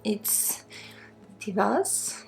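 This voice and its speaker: A woman from Sweden with a Swedish accent guides a spiritual healing ASMR session in a soft, breathy, informal and halting way, often dropping toward a whisper. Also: medium pitch, big pitch swings. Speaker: spiritual guide, energy healer. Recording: soft music under the voice. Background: soft music.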